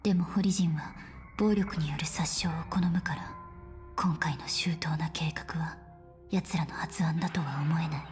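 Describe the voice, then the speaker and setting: An actress voicing a decisive woman commander who gives firm, brisk orders. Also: low-pitched, steady tone, darkish tone. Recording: game audio with faint music.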